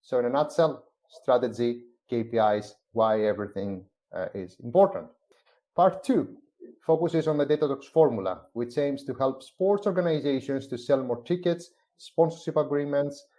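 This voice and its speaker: A man from Greece, a company's chief growth officer, presenting a business book in a measured way with frequent "uh" fillers. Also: medium pitch, lively intonation, dark tone. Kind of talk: semi-scripted presentation on a live stream.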